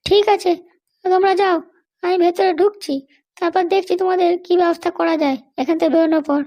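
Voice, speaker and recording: Woman voicing a squeaky, haughty cartoon lady boss. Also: steady tone, fast talker, dark tone. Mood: vengeful. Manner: bossy, indignant, scolding. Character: haughty, threatening female political boss held in jail. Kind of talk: Bengali comedy cartoon dialogue.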